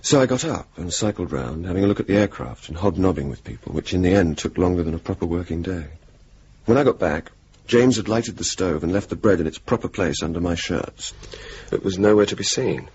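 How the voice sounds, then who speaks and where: An actor playing a witty young RAF officer in a radio drama, speaking with mock-pompous turns of phrase and service slang. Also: male voice, deep voice, animated, brisk, a little mellow. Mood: wry storytelling. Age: young adult. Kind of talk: 1980s radio drama, narration and banter. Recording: dull, hissy old radio recording.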